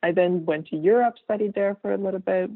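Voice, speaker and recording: A woman in her thirties, born in Kazakhstan with Russian as her first language, talks loosely as a guest, full of fillers and restarts. Her voice has a gravelly fry to it, and it comes through a thin, phone-like line. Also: mid-range voice, quick pace. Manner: rambling, frequent fillers and restarts, laughs easily. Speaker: guest artist. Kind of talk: casual interview talk.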